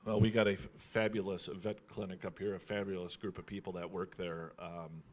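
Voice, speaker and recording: Folksy male livestream host, lower-pitched, teasing and chatty, heard through thin phone-like audio. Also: little pitch movement, a little fast. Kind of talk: casual live chat.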